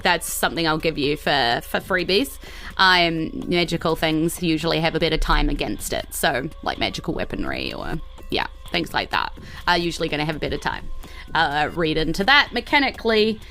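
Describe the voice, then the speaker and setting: A woman with a bright, forward voice runs a tabletop role-playing game. She explains things informally and haltingly, feeling her way through the narration, with faint music underneath. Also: lowish voice. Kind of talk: unscripted game narration, casual.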